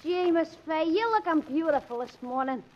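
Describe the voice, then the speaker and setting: A performer playing a cheeky, lovestruck young grocery boy in a 1940s radio comedy, brash and deadpan with his wisecracks. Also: sits high, moderate pace. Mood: smitten, cocky. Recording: noisy, muffled 1940s radio recording.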